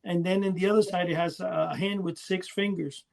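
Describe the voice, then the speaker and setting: A man's voice: high voice, fairly even intonation, a little fast, creaky, a crowd in the background. A man chatting casually as a podcast co-host, rambling and often losing his thread. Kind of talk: casual chat about collecting.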